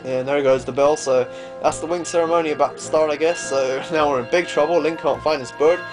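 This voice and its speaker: A man's voice: a little high, fairly even intonation, a little fast. A man giving casual, chatty video game commentary, amused at himself and reading dialogue aloud as he goes. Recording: game music behind. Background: video game music.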